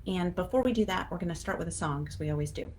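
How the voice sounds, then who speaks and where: A woman's voice: fairly low pitch, fast, darkish tone. A woman giving a casual, encouraging educational talk to parents, conversational with emphatic stresses on key words. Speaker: children's literacy educator and blog host. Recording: clear background noise.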